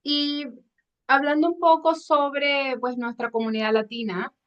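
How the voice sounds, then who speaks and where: A woman's voice: high-pitched, level tone, unhurried. A woman hosting a medical podcast interview, asking friendly, hesitant questions with many "eh" fillers. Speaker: podcast host. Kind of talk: hosted interview. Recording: dull lo-fi sound.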